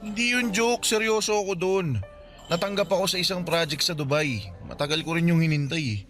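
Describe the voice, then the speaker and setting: An actor with a Filipino accent playing a devoted young suitor who pleads earnestly in a Tagalog radio romance drama. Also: male voice, high voice, fairly quick, fairly bright tone, faint music behind. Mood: defensive.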